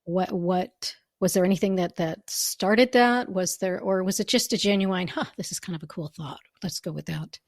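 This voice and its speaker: A woman hosting an interview with a hoarse voice, chatty and quick to agree. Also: on the low side, dry recording, studio quality. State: hoarse, losing her voice. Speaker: interview host. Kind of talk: casual interview chat.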